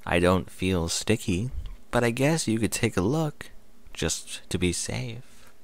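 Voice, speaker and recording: A man's voice: on the low side, lively intonation, slow pace, gravelly, voice pushed up, full character voice. Man voicing a tentative, puzzled female pony. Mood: unsure. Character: bashful, puzzled female pony narrating her own story.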